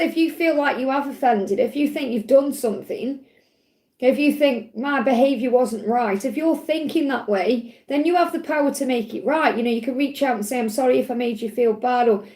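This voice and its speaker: A woman with a slightly croaky voice, giving gentle spiritual advice on a live stream and often checking in with "okay?". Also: high-pitched. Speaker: spiritual reader answering live chat questions. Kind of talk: live-stream Q&A, casual and reassuring. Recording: echoey room.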